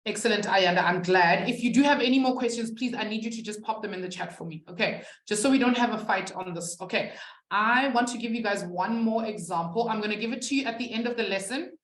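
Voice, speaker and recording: A woman's voice: medium pitch, quick pace, echoey room. A young woman who is not a native English speaker, teaching a live online maths class from a webcam and chatting with students. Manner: chatty, reads out student chat, laughs at herself.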